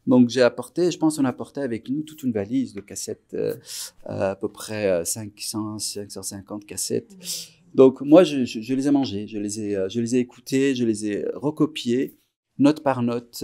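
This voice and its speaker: A man in his forties from Iran whose first language is Persian, a musician guest recalling his past in a relaxed interview, unhurried and reflective, often pausing to search for words. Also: medium pitch, gravelly. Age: 40s.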